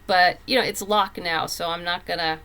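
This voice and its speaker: A woman's voice: on the low side, fairly even intonation, moderate pace, fairly bright tone, clear, resonant tone. A woman speaking as a guest writer in a casual podcast interview, often restarting and repeating words as she goes. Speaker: guest comic book writer.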